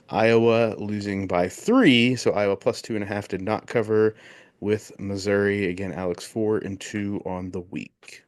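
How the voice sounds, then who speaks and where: A man co-hosting a casual sports betting podcast, joking and reading off records and picks in quick bursts. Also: on the low side. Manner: jokey, recaps bets in quick bursts. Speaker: podcast co-host.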